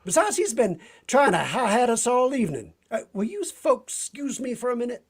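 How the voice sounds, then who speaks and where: Folksy, swooping troublemaker. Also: male voice, very high voice, sing-song, moderate pace, husky, full character voice. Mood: folksy, sly. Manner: folksy, drawling, needling. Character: cocky, folksy, troublemaking drawling adventurer, male sidekick. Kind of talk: 1940s radio acting.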